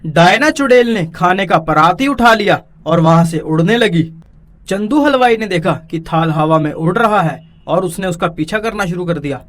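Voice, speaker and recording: High-pitched male children's storyteller. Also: expressive, normal speed, fairly bright tone. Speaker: storyteller, narrator. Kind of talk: scripted children's story narration.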